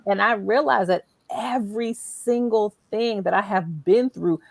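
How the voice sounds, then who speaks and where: A middle-aged woman hosting a conversational interview in an animated, encouraging and emphatic way. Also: mid-range voice, a little slow, creaky, dry recording. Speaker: show host.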